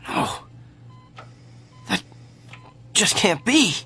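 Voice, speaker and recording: A man's voice: extremely slow, bright, forceful tone, soft background music. An actor playing a proud male celebrity doctor in a dramatic radio play. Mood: shaken, pained. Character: arrogant celebrity doctor brought low by illness.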